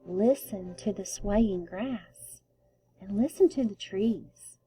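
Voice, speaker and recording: A woman voicing a gentle, wise little cricket in a storybook, soothing and encouraging. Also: mid-range voice, takes it slowly, close to an everyday voice, poor audio.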